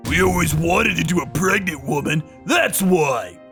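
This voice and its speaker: A man voicing a cruel young male delinquent thug who sneers and shouts taunts in a manga dub. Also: fairly high pitch, expressive, unhurried, soft background music. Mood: sneering.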